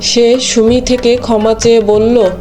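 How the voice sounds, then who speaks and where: A woman's voice: a little high, level tone, unhurried, over music. A woman narrator reading a scripted story for a cartoon.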